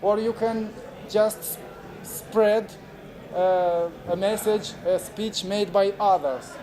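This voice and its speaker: A man in his forties from Moldova, a native Romanian speaker, presenting at a conference in halting English with many fillers and restarts. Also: sits high, a crowd in the background. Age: 40s. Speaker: moderator. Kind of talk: unconference talk. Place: conference room with audience. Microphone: handheld camera recording.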